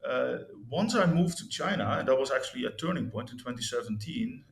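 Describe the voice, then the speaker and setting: A man's voice: medium pitch, animated, a little fast, gravelly. Hesitant Dutch-raised man in his fifties. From Netherlands. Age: 50s. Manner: hesitant, many restarts and fillers. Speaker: interview guest. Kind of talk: candid interview talk about his life. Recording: echoey room.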